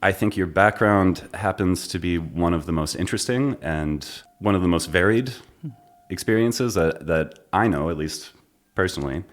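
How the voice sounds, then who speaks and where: Low-voiced man hosting a chat. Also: moderate pace. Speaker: podcast host, double bass player. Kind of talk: casual interview conversation. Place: conductor's room in a music building, indoors.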